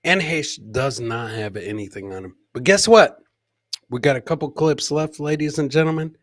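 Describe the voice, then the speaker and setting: A man's voice: a little high, animated, moderate pace, dry recording. A middle-aged man hosting a casual livestream, bantering with the chat, repeating his phrases and swearing casually, close on the mic. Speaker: podcast host and comedian. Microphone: close boom-arm microphone with headset.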